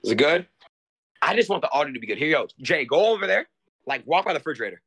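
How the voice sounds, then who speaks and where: A man's voice: a little high, sing-song, quick pace, background noise. A young man in his twenties livestreaming from a bedroom, talking restlessly to his chat and friends with lots of swearing. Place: bedroom in a house.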